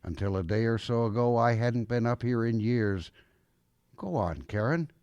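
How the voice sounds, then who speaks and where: A man playing a gruff old grandfather with a softening heart in an audio drama, speaking in a folksy, halting way. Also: medium pitch, expressive, no room sound, dull audio, close to an everyday voice. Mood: gentle, gruff. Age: older.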